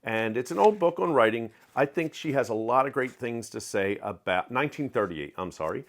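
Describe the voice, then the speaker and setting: A middle-aged man talks through his monthly reads in a casual, opinionated way, his voice sitting at an ordinary middle pitch. He is recorded close to a webcam in a home study and gestures a lot with his hands as he talks. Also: brisk. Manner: candid, opinionated, animated hand gestures. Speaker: book vlogger. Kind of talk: casual reading wrap-up review. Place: home study with shelves of collectibles. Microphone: webcam framing, close to camera.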